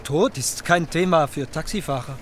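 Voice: medium pitch, moderate pace, slight background noise, close to an everyday voice. An actor playing a curious, down-to-earth taxi driver who asks questions in naturalistic radio drama dialogue. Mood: sober.

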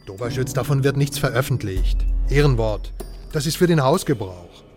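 Male actor, self-satisfied schemer, teasing, ironic, animated pitch, radio drama, music behind. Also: moderate pace, close to an everyday voice. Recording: music under the voice.

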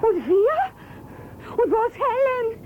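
A woman's voice: very high-pitched, very animated, slow pace, exaggerated voice. A woman playing a frightened, tearful wife on the run in a radio drama, with muffled, noisy sound. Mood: panicked. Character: frightened, tearful wife fleeing, struggling to keep up. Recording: muffled, noisy outdoor-scene radio recording.

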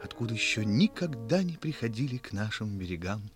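An actor playing an earnest, wistful schoolteacher, solemn and hesitant, in a 1960s Soviet radio drama. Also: male voice, lowish voice, very animated, brisk, faint music behind. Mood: solemn wonder. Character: earnest, wistful village schoolteacher, gentle with children.

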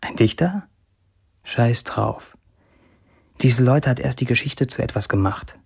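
An actor playing a defiant, self-mocking young Syrian man in a declamatory, deliberate audio drama monologue. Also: medium pitch, gravelly, husky, heavily acted. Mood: boastful. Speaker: actor performing an author's social media posts.

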